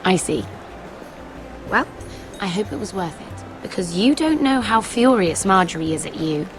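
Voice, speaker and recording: A woman's voice: medium pitch, animated, moderate pace, close to an everyday voice. A woman playing a petty, resentful young handmaiden in a video game drama, pointed and needling in manner. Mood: smug, needling. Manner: passive-aggressive, pointed, needling. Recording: music behind the voice.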